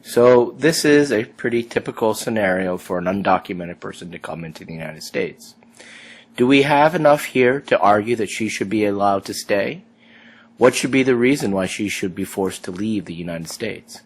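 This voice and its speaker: A man's voice: fairly low pitch, expressive, normal speed, husky. A man teaching a class, guiding students through an immigration case with a run of questions. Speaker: law instructor. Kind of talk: lecture commentary.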